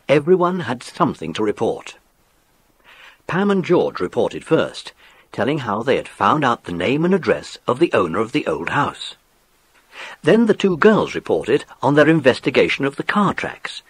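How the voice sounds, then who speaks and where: A man narrating a children's mystery story in a measured, expressive storybook style. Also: mid-range voice, husky, no room sound.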